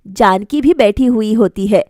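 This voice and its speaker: A woman's voice: medium pitch, measured pace, a little mellow, dry recording, studio quality. A woman narrating a scripted bedtime story for a cartoon.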